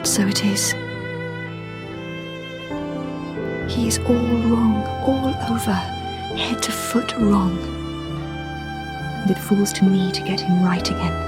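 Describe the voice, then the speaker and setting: A woman's voice: medium pitch, lightly acted. A woman playing an earnest, determined young Victorian wife in a radio drama, speaking in an articulate, intense way. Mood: wounded, resolute. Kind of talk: period radio drama acting.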